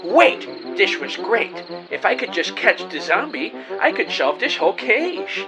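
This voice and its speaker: An actor playing an earnest, excitable, bumbling amateur detective, narrating his case melodramatically in an audio drama. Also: high-pitched, measured pace, gravelly, music in the background, heavily acted, rough recording. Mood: excited. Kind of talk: scripted first-person audio drama narration.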